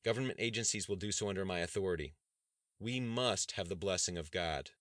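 A man's voice: sits low, a little fast, fairly bright tone, no echo, studio quality. A man reading an audiobook voices a middle-aged governor delivering an impassioned speech to a crowd. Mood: fervent. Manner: impassioned oratory.

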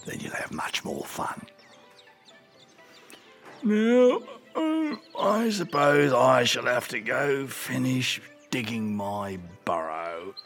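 Very slow, drawling lazy wombat. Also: male voice, mid-range voice, very animated, gravelly, fairly bright tone, faint music behind, heavily acted. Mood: kindly, weary. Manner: drawling, hesitant, sighing. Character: lazy, gentle male wombat, slow and grumbly. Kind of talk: children's audio story acting.

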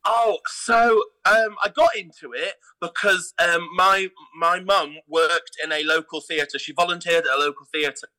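A middle-aged man, telling stories casually as an interview guest, in an animated way. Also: high voice, measured pace, few pauses, rough recording. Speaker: theatre artistic director, podcast guest.

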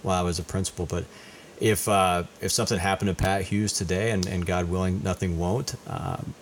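A middle-aged man from Indiana, a high school principal, answering interview questions in a rambling way and often repeating words. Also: fairly even intonation, fairly quick, a little hiss or hum. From Indiana, USA. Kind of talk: casual interview.

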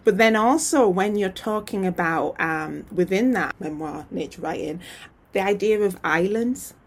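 A woman's voice: medium pitch, unhurried, a little hiss or hum. A woman hosting a podcast interview, chatty and enthusiastic, with lots of fillers. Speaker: podcast host. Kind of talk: casual interview chat.

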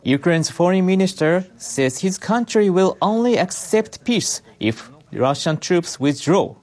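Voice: mid-range voice, expressive, measured pace, a little mellow. A news reader delivering scripted international news narration.

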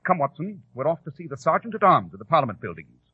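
An actor playing a shrewd, sardonic English gentleman detective with precise, lofty diction in a 1940s radio drama. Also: on the high side, very animated, quick pace, breathy edge, no room sound, heavily acted. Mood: brisk, lofty. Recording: dull, muffled old radio recording.

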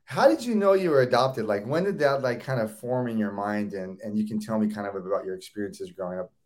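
A man's voice: on the low side, lively intonation, a little fast. Eager man hosting an interview. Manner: enthusiastic, repeats and restarts words. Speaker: host. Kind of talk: casual interview.

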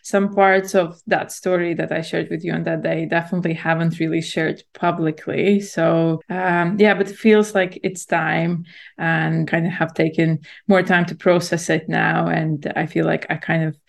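Gravelly-voiced woman. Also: deep voice, flat delivery, normal speed. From Latvia. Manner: reflective storytelling, full of "like" and "you know". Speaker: podcast guest. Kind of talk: casual podcast conversation.

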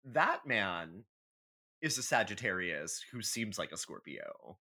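A man's voice: lowish voice, sing-song, takes it slowly, sharp tone. A man co-hosting a podcast, chatting casually and comedically in an emphatic, opinionated way with some swearing. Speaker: podcast host.

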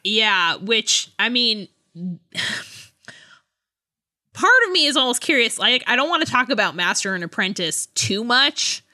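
A woman's voice: a little high, big pitch swings, a little slow, bright, forceful tone. A chatty, giggly woman co-hosting a casual podcast discussion about books. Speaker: book podcast co-host.

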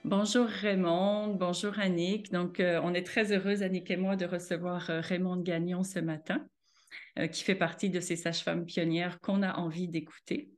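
A woman, co-hosting a podcast and introducing her guest in a measured way with fillers. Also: fairly low pitch, flat delivery, faint music behind. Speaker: podcast co-host. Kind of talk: interview introduction.

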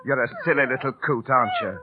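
An actor playing a cheeky, big-hearted London Cockney street entertainer, kindly but bossy, coaxing with repetitive patter in old radio drama style. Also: male voice, medium pitch, fast, lightly acted. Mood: scolding, kindly. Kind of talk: 1930s-40s radio drama acting. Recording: scratchy, noisy, tinny old radio broadcast.

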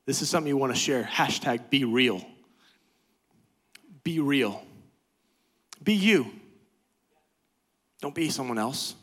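A man preaching a sermon as pastor, swinging from quiet, low talk to loud, high-pitched exhortation. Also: slightly rough. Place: church sanctuary.

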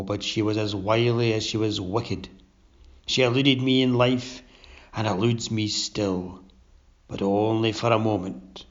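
A man voicing a pompous, sinister undead sea captain, speaking in a low, slow, drawn-out rasp. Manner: pompous, sly oration. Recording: dull lo-fi recording.

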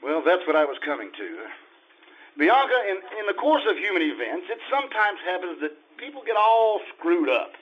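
Guy doing a nervous jealous husband, stammery and sarcastic, really animated, dull lo-fi stage recording. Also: sits high, normal speed. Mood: nervous, evasive. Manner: stammering, evasive, dryly sarcastic. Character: flustered, jealous husband caught between two wives. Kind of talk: live stage radio comedy acting.

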